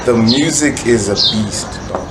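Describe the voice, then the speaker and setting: A man's voice: fairly low pitch, a little slow. A South African man talking casually and explaining himself in slang as an interview guest, a rapper and songwriter. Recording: noisy ambience with birdsong.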